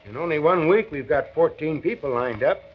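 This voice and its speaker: A man's voice: a little high, animated, fairly quick, lightly acted. An actor playing an eager, plucky working man in 1940s radio drama, hearty and emphatic with an American accent. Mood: earnest, eager. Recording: dull, noisy 1940s radio recording.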